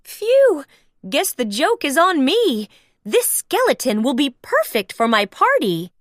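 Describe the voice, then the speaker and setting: A woman voicing a cheerful, excitable male cartoon mouse from a storybook, one who startles easily. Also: high voice, takes it slowly, no echo, studio quality, pitched above the performer's own voice, heavily acted.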